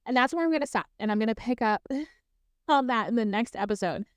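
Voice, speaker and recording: A woman's voice: on the high side, animated, fairly quick, clean tone, no echo, clean studio sound. A woman around thirty hosting her own podcast, chatting casually and telling stories with lots of "like" and "um" and easy laughs. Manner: chatty, fills speech with "like" and "um"; laughs easily while talking. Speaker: podcast host. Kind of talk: casual solo storytelling.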